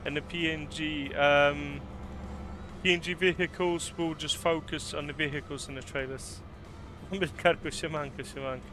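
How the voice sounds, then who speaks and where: A man from Poland chatting casually on a live stream, answering viewers with a relaxed, rambling manner and many fillers. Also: fairly high pitch, moderate pace, soft background music. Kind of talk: casual live-stream chat while driving.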